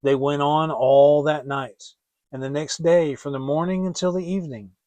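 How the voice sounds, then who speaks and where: A man reads a fairy tale as a dramatic audiobook narrator. His fairly high voice is expressive and theatrical, captured dry with no room sound. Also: moderate pace, no echo.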